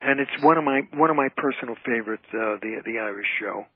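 Husky older man on a phone line. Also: mid-range voice, fast talker, no echo. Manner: emphatic, repeats phrases. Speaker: radio interview guest, entertainer and author. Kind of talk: conversational interview. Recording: thin, tinny phone line.

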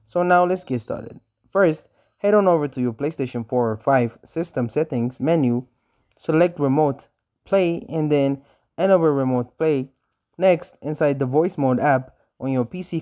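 A man narrates a scripted how-to guide in a voice-over. His voice is medium in pitch with a soft, dark tone, and he lays out instructions one step at a time. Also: normal speed. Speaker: tutorial narrator.

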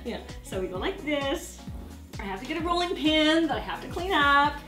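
A woman's voice: high voice, big pitch swings, moderate pace, reverberant, distant mic, over music. A woman hosting a cooking show, showing a guest how to cook in her home kitchen, chatty and playful, laughing often and adding sound effects. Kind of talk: casual cooking demonstration.

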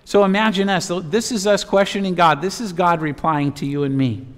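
High-pitched preaching man in a reverberant hall. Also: steady tone, a little fast. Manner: emphatic, rhetorical delivery in short phrases. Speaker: pastor. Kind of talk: expository sermon with Bible reading. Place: church sanctuary, at a pulpit. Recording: reverberant church hall sound.

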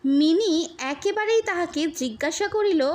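High-pitched woman narrating a story, unhurried. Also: expressive, a little mellow. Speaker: audiobook narrator. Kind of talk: literary short story narration.

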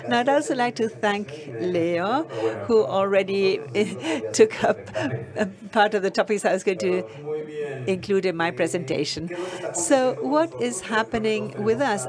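A woman giving a steady, measured simultaneous interpretation of a conference talk. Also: medium pitch. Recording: conference hall with audience noise.

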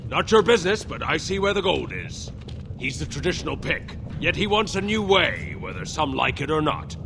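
A man voicing a shrewd, gold-minded fantasy dwarf merchant in a video game, blunt and dismissive, with a gravelly voice. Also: fairly high pitch, very animated, normal speed, noisy background. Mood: blunt, guarded. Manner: blunt, dismissive, matter-of-fact. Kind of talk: video game dialogue acting.

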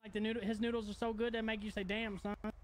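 A high-voiced young man livestreaming, talking to chat in loose, crude slang. His tone is relaxed and off the cuff. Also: very fast, a little hiss or hum. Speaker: streamer.

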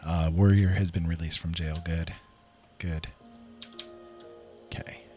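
Man, low voice, casual gameplay commentary, easygoing, musing to himself, clear game music behind. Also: level tone, slow pace, darkish tone. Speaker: gameplay host. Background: game music and sounds.

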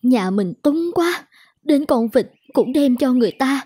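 An actress playing a poor, anxious peasant wife, husky and plaintive, in an animated folk tale. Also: female voice, sits high, a little slow, no echo, exaggerated voice. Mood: worried, resigned.

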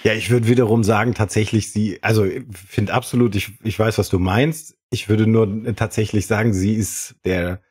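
A man's voice: fairly low pitch, steady tone, moderate pace, hardly any pauses, darkish tone, husky. A man talking casually as a panelist in a podcast discussion.

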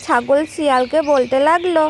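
A woman's voice: high voice, moderate pace, background noise. A woman storyteller reading scripted narration for children's cartoon tales.

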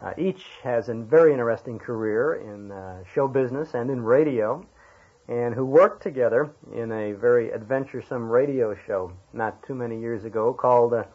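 An American man working as an interviewer, asking questions conversationally and haltingly as he searches for words. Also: medium pitch, husky. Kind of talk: conversational radio history interview. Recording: dull, lo-fi interview tape.

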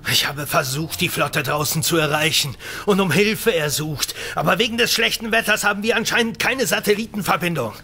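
An actor playing a strained, authoritative leader of a research station in an audio drama. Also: male voice, sits high, brisk, hardly any pauses, sharp tone, slightly rough, full character voice. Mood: frustrated. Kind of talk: German audio drama acting.

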